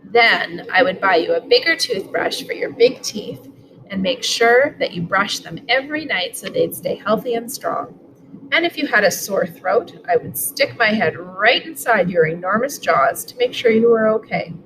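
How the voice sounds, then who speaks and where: A woman's voice: medium pitch, lively intonation, normal speed, noisy background. A woman, reading a picture book aloud at home. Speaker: school superintendent reading aloud. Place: living room sofa, indoors. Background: music.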